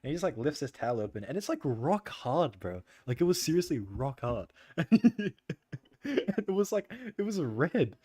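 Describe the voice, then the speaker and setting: A young man streaming and chatting loosely with viewers, rambling with lots of "like" and "bro" and frequent laughter, in a soft voice. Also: on the high side, big pitch swings, moderate pace, dry recording. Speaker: livestreamer. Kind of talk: casual chat with stream viewers.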